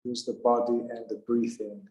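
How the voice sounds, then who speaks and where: A man with a mid-pitched voice guides a meditation in a roomy, echoing space. He is calm and takes his time, leaving long pauses between short, simple phrases.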